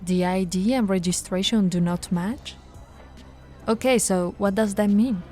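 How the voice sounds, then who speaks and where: A patient, teasing policewoman, acted by a woman talks softly and slowly, coaxing and a little flirtatious. Her voice sits at a middle pitch and comes through a close mic, with faint music underneath. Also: close to an everyday voice. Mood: matter-of-fact. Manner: soft-spoken, coaxing, playfully flirtatious. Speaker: ASMR voice actress. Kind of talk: ASMR audio roleplay.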